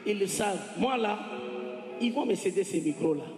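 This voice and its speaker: High-pitched male church interpreter in echoey hall. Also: expressive, moderate pace. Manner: echoes the preacher phrase by phrase, switching between French and Swahili. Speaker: sermon interpreter. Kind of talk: consecutive live interpreting of a sermon. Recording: echoey hall, music behind. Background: music.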